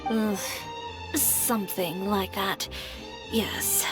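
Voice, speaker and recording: Poised, blunt young fashion designer. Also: female voice, mid-range voice, fairly even intonation, loud music behind the voice. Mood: reserved. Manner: brisk, matter-of-fact, dry. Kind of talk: video game voice acting.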